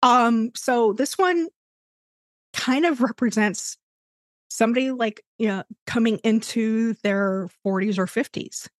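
A woman's voice: on the high side, unhurried, no echo. Woman, forties, podcast co-host, rambling, reflective, dry close sound. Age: 40s. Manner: rambling, reflective, full of "you know". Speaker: podcast co-host and tarot deck designer. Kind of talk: casual chat.

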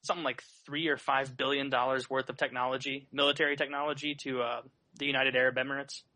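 A man's voice: mid-range voice, level tone, quick pace, sharp tone, low-quality recording. An earnest man making his case in a casual podcast debate, hedging often with "I mean, like".

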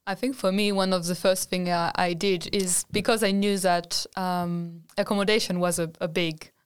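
A young woman from France, a student guest who tells her stories in a lively, animated way. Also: on the low side, moderate pace, clear, resonant tone.

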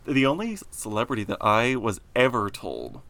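Deep gravelly deadpan young man. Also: normal speed. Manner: deadpan, sarcastic, monotone. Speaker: podcast co-host. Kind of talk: casual chat.

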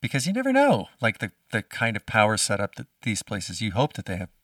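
A man's voice: fairly low pitch, huge pitch swings, fairly quick, a bit bright, no echo. A man hosting an interview, asking long rambling questions full of restarts. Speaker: podcast host interviewer. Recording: dry, distant from the mic.

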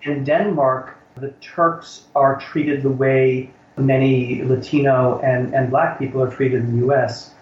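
A man's voice: medium pitch, level tone, measured pace, few pauses. A middle-aged American man, a college instructor, answering interview questions in a thoughtful way and often repeating words as he searches for phrasing. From United States. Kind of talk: reflective interview conversation. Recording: roomy, slightly dull recording.

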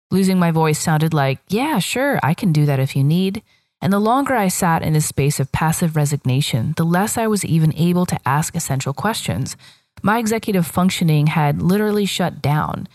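Podcast host, woman, 30s, low pitch, reflective storyteller, dry booth sound. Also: a little mellow, no room sound. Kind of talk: scripted solo podcast monologue.

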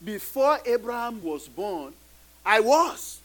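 Man, middle-aged, pastor, non-native english speaker, high pitch, sermon, emphatic repetition. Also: very animated, slow, a bit bright. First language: not English. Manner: preaching with rising emphatic repetitions.

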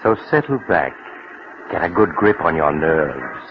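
An actor playing a sinister yet genial mysterious storyteller, narrating a 1940s radio mystery with theatrical, ominous phrasing. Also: male voice, a bass voice, lively intonation, measured pace, heavily acted. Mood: ominous, teasing. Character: sinister, genial mysterious male storyteller relishing macabre tales. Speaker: host narrator. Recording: muffled, noisy old 1940s radio recording.

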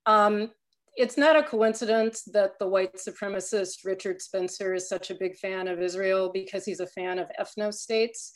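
A woman speaks as a panel guest in a mid-pitched voice. She argues her points with emphasis and energy. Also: steady tone, moderate pace, rough recording. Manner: impassioned, emphatic. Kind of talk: panel discussion.

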